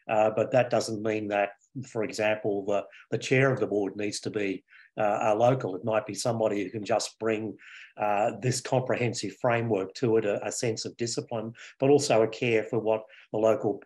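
An older man speaking on a video call from a home study, measured and halting with frequent fillers, as a panelist. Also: on the low side, a little mellow. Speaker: panelist, board chair. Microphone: video call webcam.

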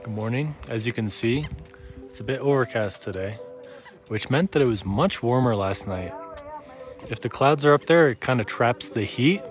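A young man talking casually to a handheld camera as a hiking vlogger, low-key and plainspoken. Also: expressive, normal speed. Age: young adult. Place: outdoors in mountains and inside tents. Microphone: handheld camera at arm's length. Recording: music behind the voice.

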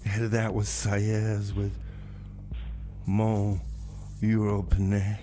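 A man's voice: lowish voice, completely flat delivery, slow pace, warm tone, slight background noise. A man hosting a community radio music show, talking casually like a DJ with wry, offhand asides. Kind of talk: casual radio DJ talk, announcing songs and local events.

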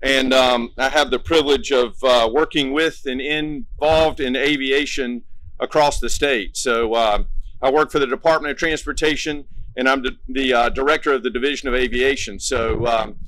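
A middle-aged man giving a halting ceremony speech at a podium as a government director. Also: medium pitch, steady tone, fairly bright tone, poor audio. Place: outdoor podium by a brick building. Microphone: podium microphone.

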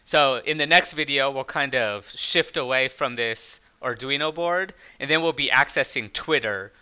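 A man with a loud speaking voice, talking casually through his own programming project in a screen-recorded tutorial. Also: mid-range voice, moderate pace, clear, resonant tone, lo-fi sound. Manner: plain, conversational explaining with small restarts.

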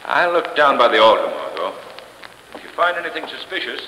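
An actor playing a suave, composed gentleman detective in a 1930s radio mystery. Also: medium pitch, very animated, a little fast, long reverb tail. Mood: composed, urbane. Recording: noisy old 1930s radio recording.

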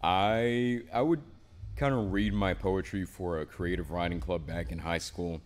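Deep-voiced laid-back young man. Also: fairly even intonation, measured pace. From Miami, Florida. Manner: laid-back, rambling, says "man" and "right?" often. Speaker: poet, interview guest. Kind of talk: casual interview. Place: small indoor studio with a painted mural wall.

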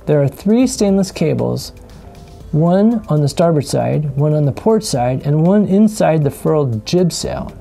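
A middle-aged man giving calm, scripted voice-over narration for a sailing travel vlog, explaining things with dry humor. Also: fairly high pitch, a little slow, gravelly, faint music behind.

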